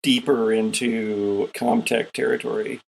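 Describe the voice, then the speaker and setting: Gravelly, slow-talking man as game master. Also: mid-range voice, level tone, darkish tone, a little hiss or hum, low-quality recording. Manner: deliberate, descriptive, with pauses. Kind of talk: improvised narration of a tabletop sci-fi game.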